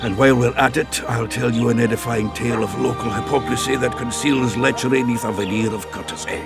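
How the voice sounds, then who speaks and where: An actor playing a gruff, bawdy dwarf in a video game, gravelly and blustery. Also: male voice, medium pitch, steady tone, a little fast, loud music behind the voice, natural voice. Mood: hearty. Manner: blustery, earthy, colourful speech. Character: gruff, hearty, bawdy male dwarf drinking companion.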